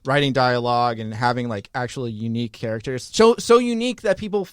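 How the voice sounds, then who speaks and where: A man's voice: medium pitch, animated, fast talker, clean tone, no echo. A man hosting a podcast and talking about his writing in a casual studio chat. He laughs often and rambles with many fillers. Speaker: podcast host and writer. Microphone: studio microphone.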